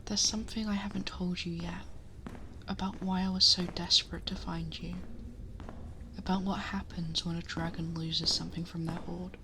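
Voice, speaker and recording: A woman playing a possessive, protective dragon girl in an intimate ASMR roleplay, speaking softly and breathily, often whispering, close to the microphone in a measured murmur. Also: fairly low pitch, flat delivery, background noise, close to an everyday voice. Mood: tender, reassuring. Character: possessive female dragon girl, protective, commanding yet tender. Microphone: close binaural ASMR microphone.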